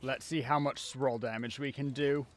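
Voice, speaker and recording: A man's voice: mid-range voice, steady tone, moderate pace, soft background music. A man giving casual live commentary as he plays a video game, talking half to himself as a streamer. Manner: casual, muttering self-talk while playing.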